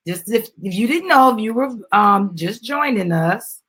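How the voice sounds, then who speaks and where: A woman's voice: medium pitch, expressive, normal speed, fairly bright tone, husky. A woman doing a live dramatic reading of a children's book as host, with chatty, upbeat asides and encouraging prompts to a small child.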